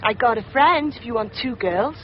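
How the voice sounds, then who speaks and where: An actress playing a brisk, hard-bargaining street prostitute in a radio drama, blunt and clipped. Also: female voice, on the high side, expressive, lightly acted. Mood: brisk, businesslike. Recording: muffled, noisy street-scene radio recording.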